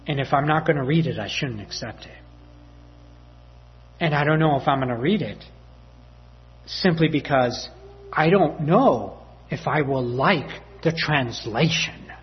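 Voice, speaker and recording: Animated man preaching a story. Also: on the high side, a little slow, pauses often, dull audio. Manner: animated, acts out family dialogue with voices and laughs. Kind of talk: sermon storytelling.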